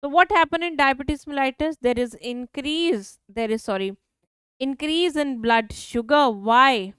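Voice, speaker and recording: A biology teacher with an Indian English accent, her high voice crisp and clear. She poses a question to her students and answers it herself in a steady lecturing flow. The sound is close and dry, with no room around it. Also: a little slow, dry recording. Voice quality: high-pitched voice. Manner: asks questions then answers them herself. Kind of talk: online exam-prep lecture.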